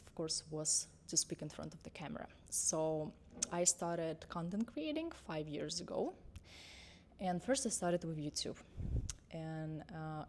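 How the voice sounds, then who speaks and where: Young female coach, low voice, unscripted, reassuring, self-correcting. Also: a little mellow. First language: Russian. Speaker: confidence and mindset coach. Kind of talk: unscripted vlog talk to camera.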